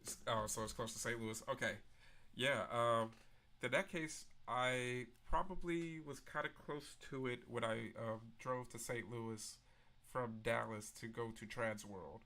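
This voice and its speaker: A man hosts a live stream, chatting easily and replying to his viewers one message at a time. His voice is gravelly with a lot of fry, yet bright and forceful, at a middle pitch. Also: unhurried. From Memphis, Tennessee. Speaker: livestream host. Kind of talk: casual live-stream chat.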